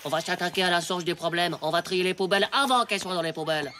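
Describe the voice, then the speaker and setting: An actor voicing a brash, excitable schoolboy full of cheeky schemes in a comedy cartoon. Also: medium pitch, fast, crowd noise, close to an everyday voice. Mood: scheming. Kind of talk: animated comedy cartoon acting.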